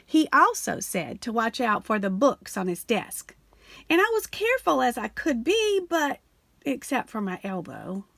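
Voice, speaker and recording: Gravelly-voiced woman reading a picture book aloud. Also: dry recording. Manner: animated storytelling, slowing and dropping low on the refrain. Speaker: storybook reader. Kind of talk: expressive read-aloud of a children's picture book.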